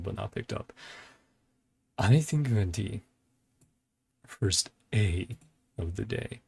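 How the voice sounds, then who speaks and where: A man giving casual reaction commentary as a video host, halting as he thinks aloud. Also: fairly low pitch, vocal fry, slightly rough. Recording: faint music behind the voice. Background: faint music.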